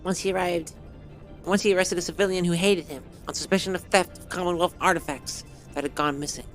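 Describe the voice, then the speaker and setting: A slightly hoarse man streaming a game, reading its story text aloud and then chatting casually, rambling and correcting himself with many fillers. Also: high-pitched, steady tone, a little fast, a bit bright, faint music behind.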